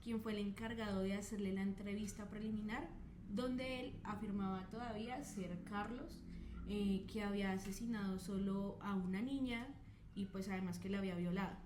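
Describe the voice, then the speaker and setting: A woman's voice: medium pitch, fairly even intonation, moderate pace. Woman, podcast co-host, echoey room, faint noise. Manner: adds details with tag questions. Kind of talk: true crime podcast chat.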